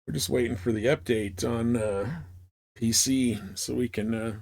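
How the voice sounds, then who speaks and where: A man's voice: mid-range voice, steady tone, a little slow, creaky, darkish tone. A man chatting casually and thinking aloud in a rambling, laid-back way as he live-streams a game. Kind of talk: casual live stream chat over gameplay. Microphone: headset.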